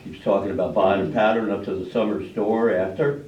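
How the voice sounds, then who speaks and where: A man's voice: on the low side, fairly even intonation, brisk, slight background noise, lightly acted. A man playing a troubled, plain-spoken village farmer and father in a staged reading, with folksy rural diction and an uneasy, questioning way of talking. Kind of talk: amateur readers' theater stage acting. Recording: dull, echoey room, distant mic.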